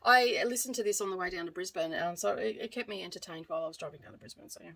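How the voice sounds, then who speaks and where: An Australian woman gives a casual book review in a fairly low voice. She speaks informally and rambles a little, circling back to correct herself. It sounds like a vlogger chatting to her viewers. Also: racing, gravelly, fairly bright tone, a little hiss or hum.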